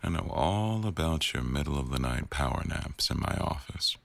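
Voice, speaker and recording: A man playing a teasing, bossy but doting newsroom boss in an intimate boyfriend roleplay audio, with a wry, unhurried delivery. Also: a bass voice, animated, creaky, dry recording, exaggerated voice. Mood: fond, teasing.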